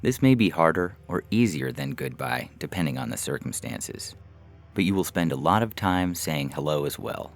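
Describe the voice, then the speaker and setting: Very deep, gravelly male story reader. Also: lively intonation, moderate pace. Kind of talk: literary short story narration. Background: faint background music.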